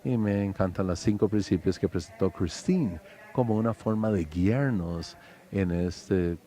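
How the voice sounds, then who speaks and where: A man giving a formal conference presentation as a panelist, measured, with frequent hesitant pauses. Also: low voice, mellow.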